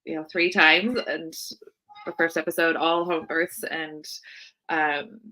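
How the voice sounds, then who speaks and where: A woman's voice: deep voice, flat delivery, slow pace, bright, forceful tone, rough recording. An American woman in her thirties, chatting casually as a guest in an interview. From United States, Seattle area. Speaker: podcast guest, birth worker.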